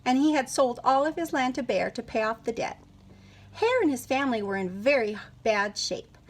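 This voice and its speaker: Animated higher-voiced woman reading a picture book. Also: moderate pace. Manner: animated, questioning, explaining to young listeners. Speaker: teacher reading aloud to children. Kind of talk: expressive picture-book read-aloud with asides to listeners.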